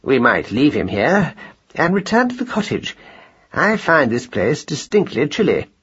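A man's voice: on the high side, sing-song, unhurried, fairly bright tone, slightly rough, lo-fi sound, pitched above the performer's own voice, full character voice. A man voicing a pompous, long-winded elderly male mouse in a children's audiobook, pedantic and hesitant, with formal afterthoughts. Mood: pompous musing.

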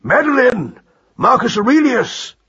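A man's voice: very high voice, sing-song, a little slow, sharp tone, slightly rough, lo-fi sound, voice pushed up, exaggerated voice. A man voicing a protective uncle rabbit in a storybook, calling out urgently. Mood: urgent, excited.

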